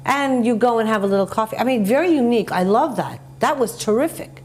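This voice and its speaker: Lady talk show host chatting away, animated and emphatic storyteller, some noise and hum behind her. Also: a little high, fast talker. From North America. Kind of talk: conversational TV interview, telling anecdotes.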